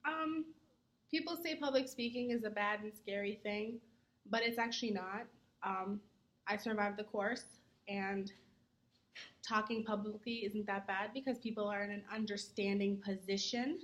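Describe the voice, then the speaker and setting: A young adult woman, a student, giving earnest answers in a mock interview, often pausing on "um". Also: mid-range voice. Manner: earnest, many "um" fillers; says she talks fast when nervous.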